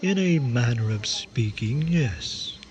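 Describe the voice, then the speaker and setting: An actor playing Death as an aloof, stiffly formal gentleman in a radio comedy drama, speaking precisely and deadpan. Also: medium pitch, takes it slowly, slight background noise. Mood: formal, calm. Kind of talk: old-time style radio comedy drama acting.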